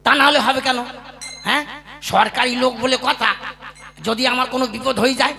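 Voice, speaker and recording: Male actor as a high, rasping puppet-show beggar, whiny and cheeky. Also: fairly even intonation, fairly quick, pushed and bright, faint music behind, full character voice. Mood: wheedling. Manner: whining, pleading, sing-song begging. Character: poor pleading male subject, jobless, cheeky. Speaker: jobless subject seeking a government job. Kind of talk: comic puppet show acting.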